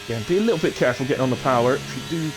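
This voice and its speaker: A man's voice: mid-range voice, rapid-fire, noisy background. A man with a chest infection giving informal live sim racing commentary and casual chat as the host, in short running phrases.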